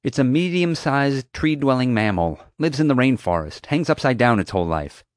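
A man's voice: medium pitch, expressive, normal speed, no room sound, clean studio sound, pitched above the performer's own voice, natural voice. A man reading a storybook, voicing a feisty, impatient young girl who talks informally and insistently. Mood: exasperated.

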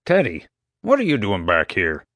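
A man's voice: lowish voice, wildly swooping pitch, normal speed, fairly bright tone, dry recording, dull audio, heavily acted. A man doing a prickly, crotchety old vet. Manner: prickly, growling retorts. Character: crotchety gruff male animal-park vet.

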